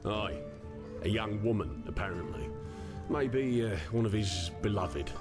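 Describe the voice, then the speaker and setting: A man's voice: fairly low pitch, lively intonation, a little slow, over music. An actor playing a folksy, gossipy working townsman in a fantasy video game, informal, knowing and matter-of-fact. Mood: casual, knowing. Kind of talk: video game character dialogue.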